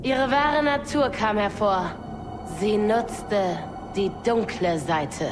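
A woman playing a menacing, bitter female dark-side inquisitor in an audio drama, with a taunting, deliberate delivery. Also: mid-range voice, over music, close to an everyday voice.

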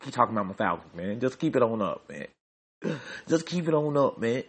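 Male podcast host, husky voice, swooping pitch, jokey sports rant. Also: a little fast. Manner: animated, repetitive, joking.

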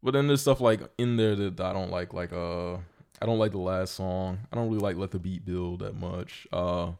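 A man co-hosting a casual music discussion, explaining his views at length in a measured way. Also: low voice, fairly even intonation, darkish tone, smooth. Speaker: podcast co-host.

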